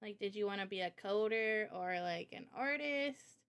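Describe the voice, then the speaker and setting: A woman's voice: mid-range voice, lively intonation, moderate pace, a bit bright, clear, resonant tone, highs cut off. A woman chatting casually on a livestream Q&A in a giggly, informal way, as the host. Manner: giggly, laughs often while talking. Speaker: host and visual novel developer.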